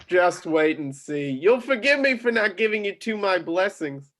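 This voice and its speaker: A man playing scornful, disapproving characters in an amateur script reading, with a halting delivery. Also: sits high, animated, a bit bright.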